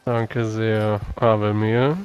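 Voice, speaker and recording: A man's voice: on the low side, level tone, slow, darkish tone, clear, resonant tone, poor audio. A man playing in a tabletop roleplaying game, talking conversationally in character.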